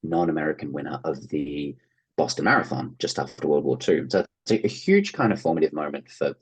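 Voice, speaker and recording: An Australian man chatting casually as an interview guest, telling anecdotes in an animated way and acting out quoted remarks. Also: extremely low pitch, a little fast, slightly rough. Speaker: interview guest, actor and writer.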